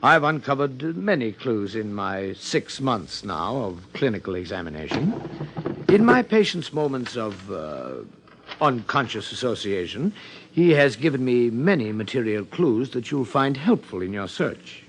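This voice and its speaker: An actor playing an earnest, hesitant psychiatrist in a 1950s radio drama, with formal, halting phrasing. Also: male voice, mid-range voice, sing-song, a little hiss or hum. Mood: earnest, absorbed.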